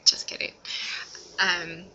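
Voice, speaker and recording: A young woman chats casually to her viewers in a very bright, forward voice. Her pace is a little slow and her delivery rambles informally, with some self-consciousness. The recording is dull and lo-fi. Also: a little hiss or hum. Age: young adult. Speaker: vlogger. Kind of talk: casual advice vlog to camera.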